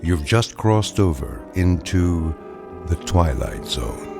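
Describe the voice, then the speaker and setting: Very deep, grave male radio narrator. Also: big pitch swings, vocal fry, loud music behind the voice. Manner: grave, deliberate, mysterious. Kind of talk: dramatic scripted radio introduction.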